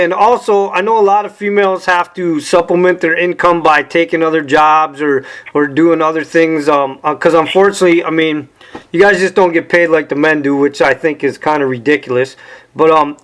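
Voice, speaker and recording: A man's voice: on the high side, normal speed, fairly bright tone. A man hosting an interview show, asking direct, conversational questions and checking facts with his guest.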